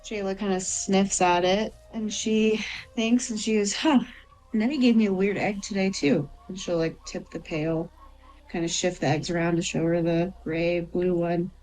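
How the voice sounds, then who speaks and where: Female roleplayer, casual improvised storytelling, slightly low register. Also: fairly even intonation, normal speed, a little mellow, faint music behind, rough recording. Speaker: player.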